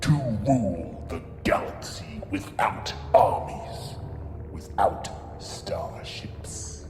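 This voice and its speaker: Ancient grumpy alien warlord for sci-fi audio drama: low, cavernous echo, scornful menace. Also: male voice, lively intonation, noisy background, exaggerated voice. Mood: ominous, commanding. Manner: slow, ominous, commanding. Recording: echoey hall, loud music underneath.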